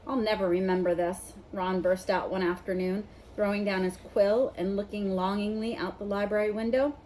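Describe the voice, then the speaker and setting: A woman's voice: mid-range voice, little pitch movement, normal speed, a little mellow, a little hiss or hum, low-quality recording. A woman narrating a children's novel, reading it aloud as a storybook.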